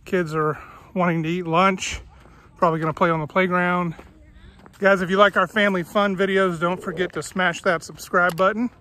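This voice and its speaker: A man's voice: high-pitched, steady tone, moderate pace, sharp tone. A man narrating a family hiking vlog outdoors, chatty and pointing things out as he walks. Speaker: family vlogger. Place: outdoor hiking trail in a coastal park.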